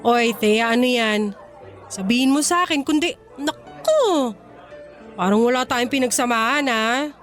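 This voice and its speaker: An actress playing a married housewife and mother who is expressive and probing with her friend, in radio drama dialogue. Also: on the high side, unhurried, faint music behind, a faint crowd. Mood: suspicious, uneasy.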